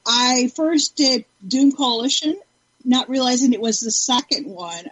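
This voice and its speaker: A female podcast panelist chats casually. Her voice is a little high and noticeably husky. She is recorded very dry, with no room around her. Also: no echo, rough recording.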